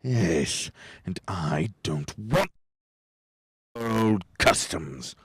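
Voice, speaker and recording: A man acts out a gruff old miser in a radio drama. His voice is low and swings dramatically from grumble to bark, scornful and cold, in a dry close recording. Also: expressive, extremely slow, dry recording, exaggerated voice. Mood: angry, scornful. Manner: gruff, scornful, barking outbursts. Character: cold, miserly, bad-tempered old businessman uncle. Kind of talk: radio play acting, 1930s adaptation.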